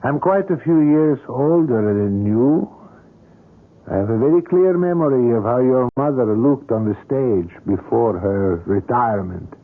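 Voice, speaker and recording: Old-time radio drama: a courtly, obsessed older sculptor, florid and precise, slightly rough tone, heavily band-limited sound. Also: male voice, medium pitch, expressive, a little slow. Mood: fervent, wistful. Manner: formal, florid, precise diction. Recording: muffled vintage radio drama recording.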